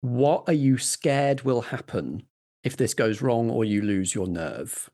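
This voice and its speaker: A man's voice: mid-range voice, animated, slow pace, dark tone. A man running a tabletop roleplaying game, narrating scenes and putting questions to players. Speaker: game master.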